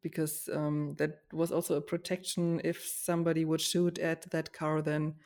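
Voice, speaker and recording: A woman talking casually about herself in a reflective, slightly halting way, as in a conversation between co-hosts. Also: low voice, level tone, a little mellow. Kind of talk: casual personal chat.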